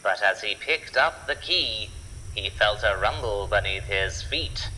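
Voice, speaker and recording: A man's voice: lowish voice, lively intonation, measured pace, sharp tone, big-room sound, a little hiss or hum, rough recording. A man narrating an adventure serial in a dramatic, scripted storytelling style. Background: music.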